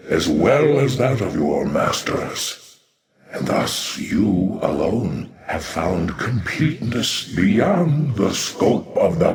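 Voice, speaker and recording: An actor playing an ancient, brooding outcast alien warrior in a video game, proud, slow and portentous, with an echoing voice. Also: male voice, deep voice, heavily acted. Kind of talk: dramatic video game voice acting. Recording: echoing, reverberant game voice processing.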